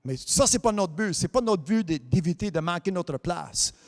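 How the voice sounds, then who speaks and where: A man whose first language is English, preaching a French sermon as a pastor, dropping amen and hallelujah between halting restarts and sometimes lifting into a sing-song cadence. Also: high-pitched, lively intonation, big-room sound. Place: church hall.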